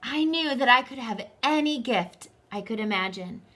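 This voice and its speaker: Lady doing a kids book read aloud, slow and expressive, kinda high bright voice, chats to the listeners. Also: a little hiss or hum. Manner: dramatic, deliberate phrasing, asks the listeners questions. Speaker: storyteller reading a picture book aloud to children.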